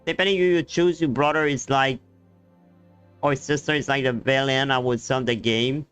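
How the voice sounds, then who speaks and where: A man giving casual live game commentary as a streamer, explaining things haltingly in non-native English grammar. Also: mid-range voice, steady tone, a bit bright, clean tone. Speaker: gaming streamer. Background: faint game music.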